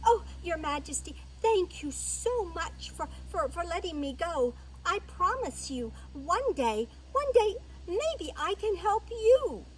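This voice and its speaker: Woman doing a tiny high-pitched timid mouse. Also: sing-song, slow, hardly any pauses, slight background noise, exaggerated voice, low-quality recording. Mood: grateful, earnest. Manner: pleading, stammering, polite. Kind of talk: animal fable storytelling.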